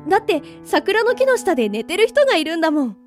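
A woman plays a girlish nineteen-year-old village heroine with a very high, expressive voice. She is bright and kind with a wistful streak, in radio-drama style over soft music. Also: fast talker. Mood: chatty, bright. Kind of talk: Japanese audio drama acting with monologue.

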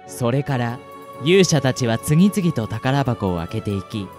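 A man narrating a comic fantasy radio drama in a calm, even storytelling manner. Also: mid-range voice, measured pace, soft background music.

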